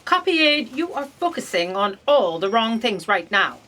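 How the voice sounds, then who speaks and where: A woman's voice: a little high, big pitch swings, normal speed, pushed and bright, exaggerated voice. A woman playing an imperious, gluttonous, short-tempered boss, haughty and theatrical, in a comic audio drama. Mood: commanding.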